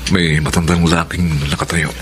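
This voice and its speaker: Deep-voiced Filipino young suitor played by a man. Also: little pitch movement, moderate pace, over music, natural voice. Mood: puzzled searching. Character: polite, smitten young man courting a woman. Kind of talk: Filipino radio drama acting.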